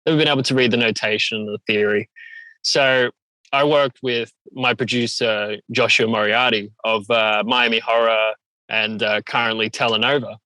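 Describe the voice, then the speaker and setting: A man's voice: medium pitch, fairly even intonation, normal speed, sharp tone, no echo, low-quality recording. A man speaking casually as a singer-songwriter guest in an interview, often acting out remarks as he retells them. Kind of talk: casual chat.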